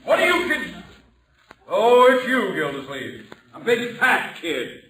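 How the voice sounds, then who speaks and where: An actor playing a sour, haughty neighbor in an old radio sitcom, speaking curtly and sarcastically. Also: male voice, high-pitched, wildly swooping pitch, slow pace, pushed and bright, echoey room, exaggerated voice, poor audio. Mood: irritated. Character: sour, cutting, grudge-holding male neighbor. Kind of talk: 1940s-50s radio sitcom acting. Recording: old radio recording, faint noise.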